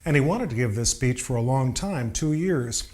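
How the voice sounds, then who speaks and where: A man's voice: medium pitch, expressive, moderate pace, a little mellow. A man explaining history in a conversational documentary interview style. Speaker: historical commentator.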